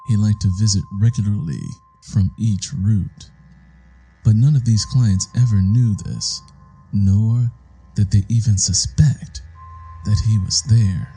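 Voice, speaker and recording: A man reading a suspense story as a narrator, in a deliberate, measured and ominous way. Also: lowish voice, mellow, breathy edge, faint music behind, rough recording. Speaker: audiobook narrator. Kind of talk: dramatic scripted suspense narration.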